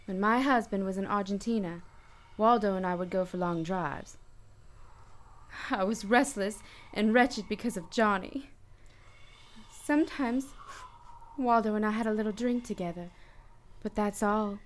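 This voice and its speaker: A woman's voice: mid-range voice, unhurried, pauses often, natural voice. A woman playing a wistful, troubled married woman in a dramatic noir radio play. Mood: wistful confession.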